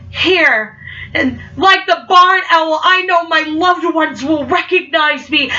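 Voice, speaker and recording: A woman's voice: high voice, pushed and bright. A young woman performing spoken word poetry, speaking with a stutter and an emphatic, rhythmic delivery. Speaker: poet. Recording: muffled, from far off.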